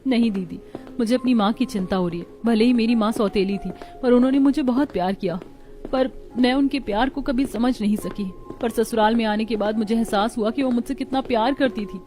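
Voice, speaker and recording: Woman as earnest young daughter-in-law, bright voice. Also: fairly high pitch, brisk, soft background music, lightly acted. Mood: sad. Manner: earnest, plaintive. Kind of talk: cartoon story dialogue.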